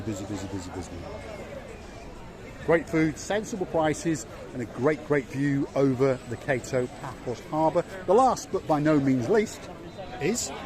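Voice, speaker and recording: A middle-aged man from Wales giving a chatty, jokey walking tour of a harbour at night, repeating words for emphasis. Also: a little high. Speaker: vlog host. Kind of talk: casual walking tour commentary. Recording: music playing behind.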